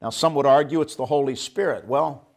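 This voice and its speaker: A man in his sixties preaching a sermon from a church stage into a handheld microphone, stressing phrases slowly and emphatically. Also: medium pitch. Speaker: pastor. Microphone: handheld microphone at a pulpit.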